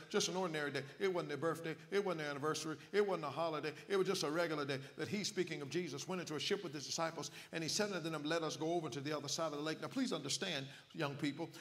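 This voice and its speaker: A man's voice: sits high, a bit bright. A man preaching a fiery youth revival sermon at a rally, driving his points home with rhythmic repetition and a rising preaching cadence. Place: rally hall with an audience.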